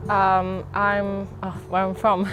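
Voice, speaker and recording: A woman's voice: medium pitch, completely flat delivery, slow pace, a bit bright. A young woman whose first language is Bosnian speaks hesitantly, with plenty of ums and little laughs. Music plays behind her. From grew up in Bosnia. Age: young adult. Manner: hesitant, many fillers, light laughs. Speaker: student interviewee. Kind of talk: documentary interview.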